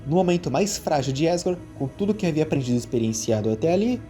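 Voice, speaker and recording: A man with a Brazilian accent reading scripted story narration in an expressive storyteller's manner. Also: fairly high pitch, fast talker, faint music behind.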